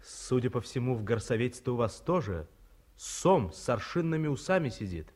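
An actor playing a sardonic young geologist in a 1950s radio play, mocking the dreary provincial hotel. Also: male voice, medium pitch, very animated, normal speed, a little mellow. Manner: sarcastic, dry. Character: young male geologist, sardonic, grumbling son. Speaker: geologist son.

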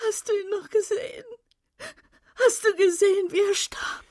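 A woman's voice: very high-pitched, fairly even intonation, a little mellow, no room sound, studio quality. An actress playing a middle-aged, grief-stricken and accusing mother of a fallen soldier in a German radio drama, tearful and insistent. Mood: tearful, pleading. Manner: slow, anguished, tearful, insistent.

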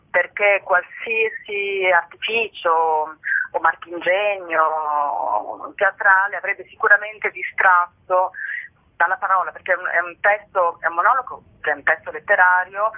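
An actress giving an earnest radio interview, searching for her words. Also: fairly low pitch. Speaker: theatre actress, interview guest. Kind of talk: thoughtful radio interview talk. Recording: narrow telephone line.

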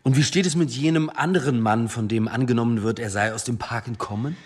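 Man acting senior police chief, radio drama, rough-edged voice, clipped, dry, sceptical, authoritative. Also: mid-range voice, brisk, darkish tone, close to an everyday voice. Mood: brisk, commanding. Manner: clipped questioning, dry, reproving. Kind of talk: German radio drama dialogue.

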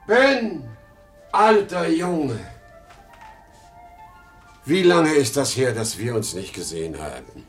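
An actor playing a boastful but insecure aging travelling salesman in a 1950s German radio drama, excitable and halting. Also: mid-range voice, soft background music. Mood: excited, admiring. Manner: excitable, halting, swinging between bluster and self-doubt. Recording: muffled 1950 radio play recording.

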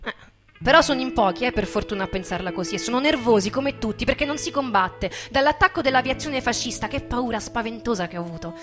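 A woman playing an eager, defiant sixteen-year-old girl militia fighter in an excited, colloquial stage monologue. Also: fairly high pitch, quick pace, music in the background, lightly acted. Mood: defiant, confiding. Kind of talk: theatrical dramatic monologue.

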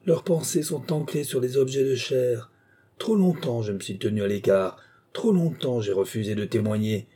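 A man's voice: mid-range voice, mellow, close to an everyday voice. A man voicing a fanatical killer who preaches judgment on sinners in an exalted, ranting way. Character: raving fanatical male killer preaching doom.

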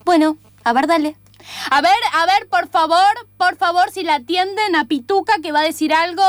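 A stern schoolteacher with a high voice, bossy and curt with small children. The accent is Rioplatense Argentine, in a radio comedy style. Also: female voice, normal speed, no room sound. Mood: stern, impatient. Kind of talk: comedic radio play acting.

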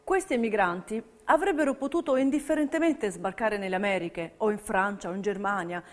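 A woman's voice: medium pitch, a little fast. A woman giving scripted, theatrical documentary narration for a stage piece. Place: radio broadcast of a theatre piece.

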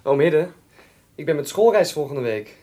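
High-pitched, cheeky schoolboy, played by a man. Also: animated, normal speed, dark tone, natural voice. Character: cheeky schoolboy, grandson and nephew. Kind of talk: radio drama acting.

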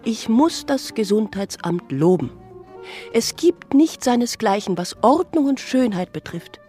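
An actress playing a composed, cultured spa guest in a formal, articulate radio drama style. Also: female voice, fairly high pitch, big pitch swings, a little slow, warm tone, faint music behind. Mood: assured.

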